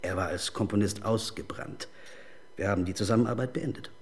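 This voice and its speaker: Ein Schauspieler spielt in einem Krimihörspiel den förmlichen, ausweichenden Manager eines Popstars, gestelzt und steif höflich. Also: male voice, lowish voice, brisk, lightly acted. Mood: guarded, cool. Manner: pompous, stiffly polite, evasive. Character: guarded, formal male manager of a pop star. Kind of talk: crime radio drama acting.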